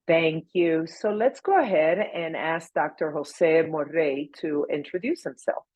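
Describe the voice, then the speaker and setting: Low, husky woman moderating. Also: measured pace, a little mellow. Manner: brief, affirming.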